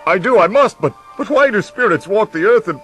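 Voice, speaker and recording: A man's voice: sits high, expressive, fairly quick, a bit bright, faint music behind. A man in a live radio drama playing a frightened old miser, stammering and pleading melodramatically. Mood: terrified.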